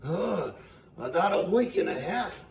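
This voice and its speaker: A man's voice: sits high, very animated, a little slow, gravelly, a little mellow, exaggerated voice. A man voicing a cranky, folksy old male puppet sidekick who interrupts with contrary wisecracks in a ventriloquist comedy routine. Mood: deadpan joking. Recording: roomy, distant mic, faint noise.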